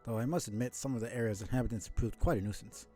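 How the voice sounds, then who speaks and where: A man's voice: mid-range voice, fast, warm tone, soft background music, natural voice. A man voicing a courteous, cultured mapmaker from a video game, speaking in a genteel, wistful manner. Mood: appreciative. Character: courteous, cultured male mapmaker, gently rambling. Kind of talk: video game character dialogue read aloud.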